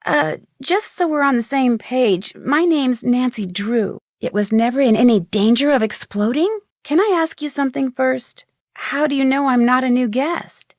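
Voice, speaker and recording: A woman's voice: high-pitched, moderate pace, no room sound, clean studio sound. An actress playing a polite, determined young woman detective in a video game, speaking in an earnest way. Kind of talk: acted video game dialogue lines.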